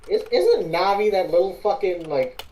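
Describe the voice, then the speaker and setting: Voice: medium pitch, sing-song, moderate pace, a little mellow. A laid-back co-host in a casual chat, loosely profane while picking parts for a story reading.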